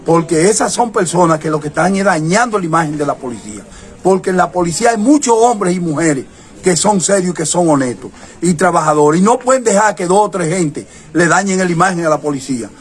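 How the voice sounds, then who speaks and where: A man's voice: high-pitched, expressive, a little fast. A man, a mayor speaking firmly and insistently in a news interview. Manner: firm, insistent demands. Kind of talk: interview statement.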